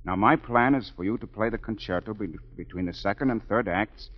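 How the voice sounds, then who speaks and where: An actor playing an earnest young man who narrates a mystery in a measured, dramatic 1940s radio drama style. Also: lowish voice, breathy edge, muffled recording. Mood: earnest, purposeful. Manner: measured, dramatic storytelling delivery. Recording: 1940s radio broadcast with background music.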